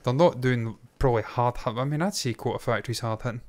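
A man's voice: mid-range voice, fast. A Scottish man in middle age talks as a reaction show co-host. He is casual and blunt, swears freely, and speaks close to the mic in a small home studio. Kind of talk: casual review chat. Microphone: close microphone on boom arm.

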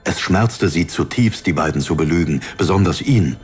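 A man reads scripted fantasy narration in a lowish voice. His storytelling is serious and measured, and clear music plays behind him throughout. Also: animated. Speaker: audiobook narrator.

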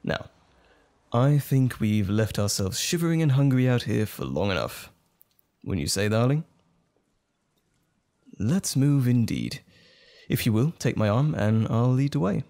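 Man acting as nervous romantic date, lively intonation. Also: mid-range voice, unhurried, long pauses. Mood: tender, smitten.

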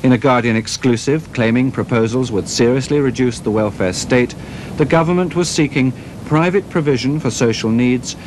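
Measured male news narrator. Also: medium pitch. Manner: measured, formal. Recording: old VHS tape with faint hiss.

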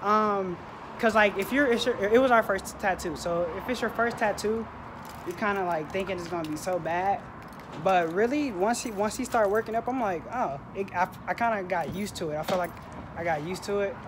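A man's voice: high voice, normal speed. An American man in his twenties chatting casually and in slang as he vlogs into a phone at arm's length. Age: 20s. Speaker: vlogger. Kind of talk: casual vlog chat, slangy. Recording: noisy outdoor, car and store ambience.

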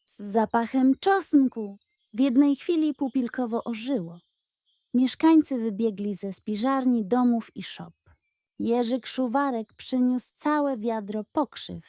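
A woman reads a children's story as narrator. Her voice is fairly high yet very mellow, and she takes her time, gentle and expressive, in a close, dry sound. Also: measured pace, dry recording. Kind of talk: scripted children's audiobook narration.

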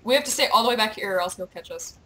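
A woman's voice: a little high, lively intonation, very fast, pushed and bright, poor audio. A giggly, playful young woman chatting casually with a friend as she plays a video game. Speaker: gamer, video host. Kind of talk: casual gaming chat with a friend. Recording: clear background noise with clicks.

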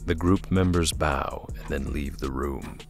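A man's voice: rumbling low, a little slow, gravelly, soft background music. A man reading scripted narration for a fantasy audio drama.

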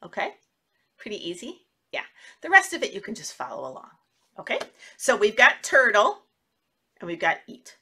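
A middle-aged woman hosting children's story time, reading and talking expressively with drawn-out, exaggerated words. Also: mid-range voice, huge pitch swings, sharp tone, breathy edge. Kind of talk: animated picture-book read-aloud for young children. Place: small indoor room.

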